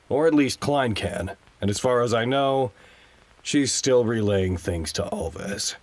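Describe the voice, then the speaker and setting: A man playing a composed, secretive site officer in an audio drama, speaking in a formal, measured, articulate way. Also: on the low side, darkish tone, lightly acted. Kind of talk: audio drama radio log.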